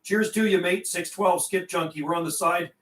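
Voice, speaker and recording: A man's voice: high-pitched, normal speed, fairly bright tone. A man hosting a CB radio livestream, chatting casually in slang and repeating and relaying messages between operators. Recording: roomy, distant microphone.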